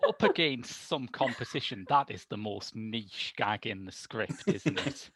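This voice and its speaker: Male reviewer, creaky lowish voice, wry opinionated chat. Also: expressive, a little slow, a bit bright, no echo. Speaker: podcast panelist reviewing films. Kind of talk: casual film discussion chat. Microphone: remote call microphone.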